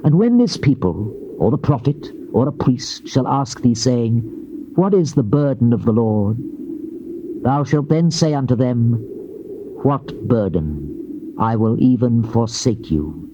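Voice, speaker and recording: A man's voice: medium pitch, expressive, natural voice. A British actor playing a stern Old Testament prophet, declaiming judgment solemnly in a dramatized King James Bible reading. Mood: wrathful, resolute. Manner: solemn, declamatory, archaic phrasing with drawn-out endings. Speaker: scripture narrator voicing a prophet. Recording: muffled, noisy old cassette tape.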